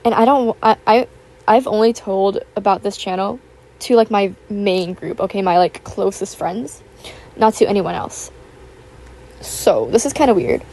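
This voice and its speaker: Young woman, raspy just-woke-up voice, mellow tone, rambling filler-heavy chat, tablet mic. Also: mid-range voice, unhurried, poor audio. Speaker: YouTuber. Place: home, with household noise. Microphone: built-in tablet microphone.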